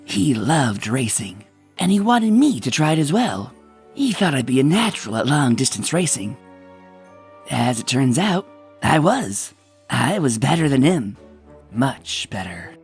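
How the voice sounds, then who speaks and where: A woman's voice: a bass voice, darkish tone, full character voice. A woman voicing a young male dragon who solemnly narrates a friend's story in a comic dub.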